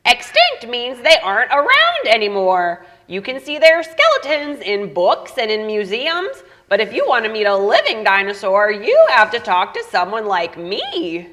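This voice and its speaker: A bright, sing-song little chicken voiced by a woman clucks and explains things like a know-it-all. Her pitch sits fairly high and swoops up and down through each sentence. This is a chatty puppet-show character. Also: wildly swooping pitch, normal speed, hardly any pauses. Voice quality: clucking, lecturing tone.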